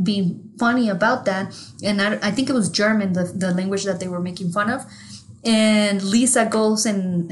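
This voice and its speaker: A woman from Mexico with a Mexican accent, co-hosting a podcast in casual chat, telling stories candidly and swearing freely. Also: mid-range voice. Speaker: podcast co-host.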